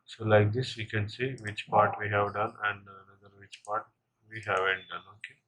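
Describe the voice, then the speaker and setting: A man narrating a step-by-step software tutorial as an instructor, in halting short phrases with frequent check-in tags. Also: lowish voice, flat delivery, rough recording. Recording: clear background noise, keyboard clicks.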